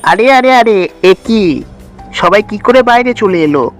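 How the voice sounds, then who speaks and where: Man playing a wicked, commanding sorcerer, raised pitch. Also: animated, unhurried, faint music behind. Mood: threatening. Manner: menacing, commanding. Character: wicked, threatening male sorcerer villain. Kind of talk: Bengali fairy-tale cartoon voice acting.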